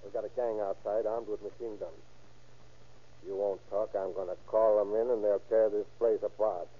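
A man's voice: on the low side, little pitch movement, normal speed, lightly acted. An actor playing a ruthless, bullying gangster in an old-time radio crime drama, barking clipped threats in tough-guy slang. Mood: cold menace. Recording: tinny, narrow old radio broadcast.

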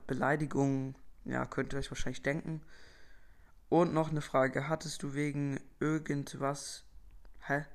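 A young teenage boy from Berlin hosting his own podcast, casually reading out and answering listener questions with lots of fillers and slang. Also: medium pitch. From Berlin, Germany. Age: young teen boy, entering eighth grade. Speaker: podcast host.

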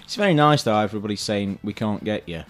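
A man's voice: fairly low pitch, lively intonation, fast. A male radio host with a congested voice, chatting casually on air in a dry, rambling, self-mocking way. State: congested from a cold.

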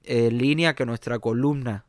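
A man's voice: mid-range voice, steady tone, normal speed, no room sound, clean studio sound. A man with a Cuban accent, a jazz pianist talking in an interview, hesitant with many fillers and restarts. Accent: Cuban Spanish. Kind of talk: conversational interview.

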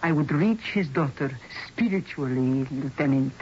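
An actress playing a serene, persistent psychic medium in a radio mystery, formal and theatrical. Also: female voice, deep voice, animated, normal speed, darkish tone. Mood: persuasive. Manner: formal, grandiose, unflappable. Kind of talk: old-time radio mystery drama acting. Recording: dull, noisy old radio broadcast recording.

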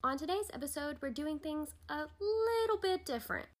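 A woman's voice: high voice, animated, normal speed. A woman hosting a podcast, delivering a scripted introduction to the listeners. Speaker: podcast host.

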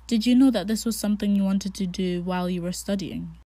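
A woman's voice: medium pitch, brisk, warm tone, no echo. A woman hosting a podcast, presenting introductions and interview questions. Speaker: podcast host interviewing a guest.

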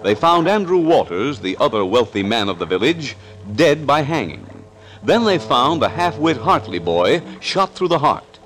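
A man narrating a suspense serial as announcer, with a dramatic, deliberate 1940s radio delivery. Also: mid-range voice, sing-song, a bit bright, faint music behind, poor audio.

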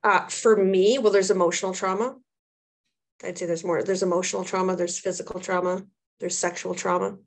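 A woman's voice: fairly low pitch, level tone, a little fast. Reflective, earnest woman explaining her work. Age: 30s or older. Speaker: trauma therapist and social worker, interview guest. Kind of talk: conversational interview answers.

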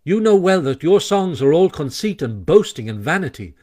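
A man's voice: a little high, big pitch swings, moderate pace, dark tone, voice pushed up. A storybook water rat, as a man puts him on, firm and earnest, raising his voice a touch above the narration. He lays down the law kindly, almost apologetic.

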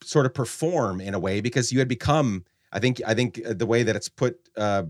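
A man from Guatemala hosting an interview, speaking earnestly and reflectively with frequent halting restarts. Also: mid-range voice, no echo, clean studio sound. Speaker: podcast host.

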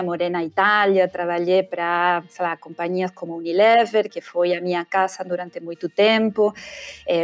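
A woman's voice: lowish voice, a little mellow. Argentine woman, hesitant, full of fillers. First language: Spanish. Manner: hesitant, many fillers and restarts. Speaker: guest brand consultant. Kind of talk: podcast interview.

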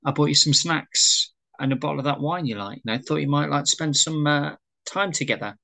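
A man's voice: fairly high pitch, fairly quick, gravelly, bright, forceful tone. A man playing a hopeful, sheepish suitor in acted drama dialogue, hesitant and wheedling. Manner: hesitant, wheedling, easily flustered. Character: hopeful, sheepish suitor pleading with his lover. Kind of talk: amateur script read-through, acted dialogue.

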